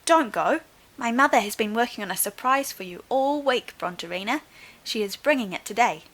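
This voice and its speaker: A woman's voice: a little high, animated, normal speed, fairly bright tone, poor audio. A woman voicing an eager, pleading little girl dance pupil in a storybook. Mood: urgent, eager. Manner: eager, urgent.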